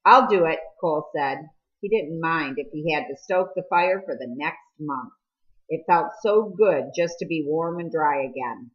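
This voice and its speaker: A woman's voice: deep voice, unhurried, highs cut off. A woman reading a novel aloud as scripted narration. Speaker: audiobook reader.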